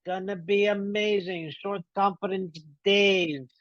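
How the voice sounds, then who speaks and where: A laid-back put-on Jamaican accent from a man hamming it up, pitched a bit high. Phrases end on a drawn-out "man". The sound is dull and dry. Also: steady tone, fairly bright tone, no echo. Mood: breezy. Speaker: podcast host.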